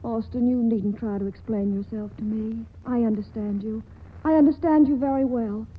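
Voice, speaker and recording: An earnest, pleading young woman; high, breathy, 1940s radio, tinny broadcast sound. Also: moderate pace. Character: earnest young woman torn in love, Monica. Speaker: actress.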